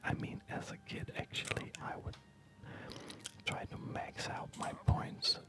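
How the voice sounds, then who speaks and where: A man doing relaxed, chatty ASMR game commentary in a soft, breathy whisper. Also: a little slow. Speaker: host of an ASMR gaming channel. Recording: background game music. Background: loud game music.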